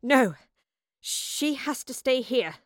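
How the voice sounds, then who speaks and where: A woman's voice: high voice, animated, slow pace, dry recording, pitched above the performer's own voice. A woman reader puts on a weary female officer from a storybook. She speaks plainly, with firm, serious urgency, in a dry recording. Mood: urgent, breathless.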